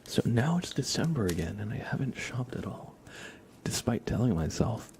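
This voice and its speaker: A man's voice: mid-range voice, very animated, normal speed, a little mellow, slight background noise. A man hosting an ASMR livestream, chatting casually and often in a whisper, with playful, rambling asides to viewers. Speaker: livestream host.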